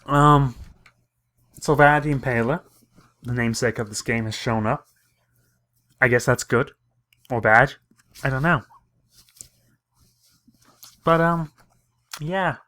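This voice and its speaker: A man's voice: mid-range voice, takes it slowly, long pauses, bright, forceful tone. A man giving let's play commentary, reading game text aloud and adding jokey, rambling asides. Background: game music.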